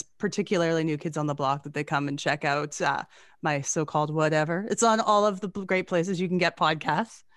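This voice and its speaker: A woman's voice: low voice, dry recording, clean studio sound. A woman in her forties hosting a podcast interview, chatty and effusive, laughing often. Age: 40s. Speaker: podcast host. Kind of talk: casual interview chat.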